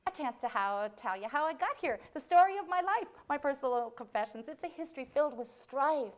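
A woman's voice: high voice, animated, brisk, pitched above the performer's own voice. A woman voicing a small, chatty female mouse in a live storytelling, pleading theatrically in rhyme. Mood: dramatic.

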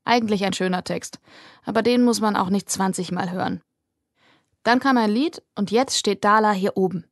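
A woman narrates a fantasy audiobook in a mid-pitched voice. The reading is scripted storytelling, and the recording is very dry with almost no room sound. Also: moderate pace, dry recording. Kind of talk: scripted fiction narration, present tense.

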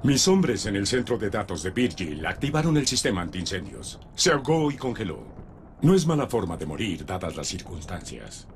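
Cold-blooded, condescending villain in an audio drama; Latin American Spanish, very animated intonation, noisy backdrop. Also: male voice, low voice, moderate pace. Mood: cold, menacing. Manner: menacing, condescending, sardonic. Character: ruthless, smug, murderous male official fleeing a doomed city. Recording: voice over loud background ambience.

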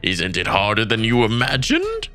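A man's voice: lowish voice, huge pitch swings, gravelly, heavily acted. A man playing an eccentric, playful old hermit master who speaks like a sage in an English-dubbed manga cartoon. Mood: playfully sage. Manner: sagely, slow, playful. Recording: music behind the voice.

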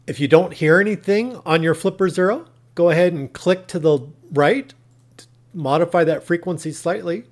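Male tutorial narrator, pitch a little above average, plain methodical step-by-step instruction. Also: normal speed. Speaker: tutorial host.

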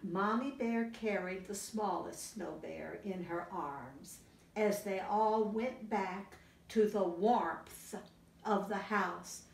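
An older woman, around her sixties, reads a children's picture book aloud expressively, pausing to put questions to young listeners. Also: mid-range voice, vocal fry. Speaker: library story time reader. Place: library children's room, indoors. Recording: roomy, distant camera sound.

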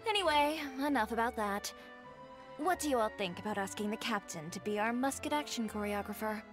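A woman's voice: on the high side, animated, a little fast, close to an everyday voice. A woman voicing a composed young fashion designer in a game, speaking matter-of-factly. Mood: calm. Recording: game audio with clear music.

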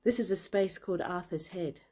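A woman in her forties who grew up in Zambia, talking earnestly to a phone camera in a bright room with big windows, measured and then forceful. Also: fairly low pitch. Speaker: vlogger and author. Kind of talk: impassioned personal address to camera. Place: indoor room with large windows and plants. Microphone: phone camera at arm's length.

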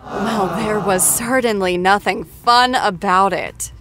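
A woman playing a gushing, excitable TV after-show host who interviews a star in an effusive manner. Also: mid-range voice, slow pace, background noise. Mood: dramatic, fascinated. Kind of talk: scripted celebrity interview show.

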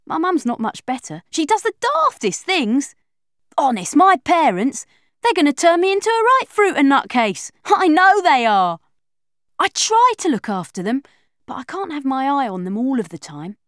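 A woman's voice: sits high, moderate pace, no echo, studio quality. A woman narrating an audiobook in the voice of a chatty young girl telling her own story. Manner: lively, expressive, confiding. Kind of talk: first-person audiobook reading.

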